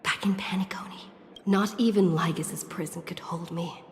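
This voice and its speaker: A woman's voice: fairly low pitch, fairly even intonation, normal speed, lightly acted. Woman playing an echoing, anxious young game heroine. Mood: hushed, resolute. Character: anxious, determined young heroine, thinking to herself. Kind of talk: video game voice acting. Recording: long hall-like reverb.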